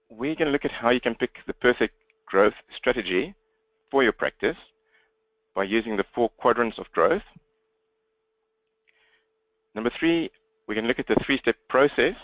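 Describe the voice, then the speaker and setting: A South African man leads an online training session as its presenter. His voice sits at a medium pitch and is recorded close and dry. He talks quickly and plainly, putting questions to his listeners to keep them involved. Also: fairly bright tone, no room sound, lo-fi sound. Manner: fast-talking, direct, engaging listeners with questions. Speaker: webinar presenter and coach for accountants. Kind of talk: interactive webinar presentation. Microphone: computer webinar microphone, presenter standing.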